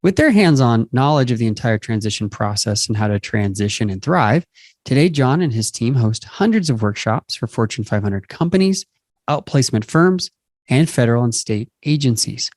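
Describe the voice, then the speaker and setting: A man's voice: medium pitch, expressive, moderate pace, darkish tone, no echo, studio quality. A man hosting an interview show, introducing and addressing his guest in a conversational presenter's style. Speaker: show host.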